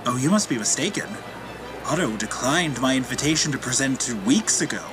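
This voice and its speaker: Man playing an animated, genial academic. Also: mid-range voice, fairly quick, music in the background, close to an everyday voice. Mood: friendly, puzzled. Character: genial academic doctor, a father. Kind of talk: audio drama acting.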